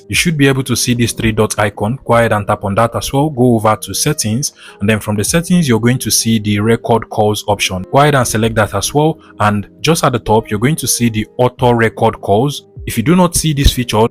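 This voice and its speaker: A man narrating a step-by-step tech tutorial in a methodical, instructional manner. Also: fairly low pitch. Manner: methodical, instructional, repeats set phrases. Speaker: tutorial host.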